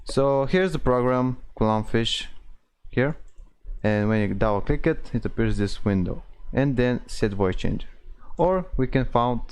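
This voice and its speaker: A man hosting an informal software tutorial, explaining step by step in non-native English phrasing. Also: medium pitch, expressive, measured pace, clean tone. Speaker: tutorial host.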